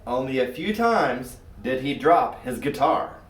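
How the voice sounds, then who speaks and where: A man reading a children's story aloud in an expressive, scripted narrating style. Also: medium pitch, unhurried. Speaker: storybook reader. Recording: roomy echo, far from mic.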